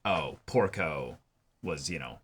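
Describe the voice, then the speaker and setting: A man co-hosting a casual episode review, excitable and halting, often repeating words and swearing. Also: a bass voice, breathy edge. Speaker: reaction show co-host.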